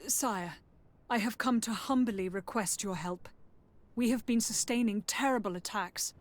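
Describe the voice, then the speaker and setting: Woman doing a polite humble court envoy for a game, higher voice, very formal. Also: a little slow, lightly acted. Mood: humble, worried. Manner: formal, deferential. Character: formal, deferential female emissary seeking military aid. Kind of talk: game cutscene acting.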